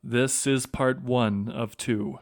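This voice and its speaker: A man narrating an audio drama from a script in a measured storytelling manner. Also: medium pitch, steady tone.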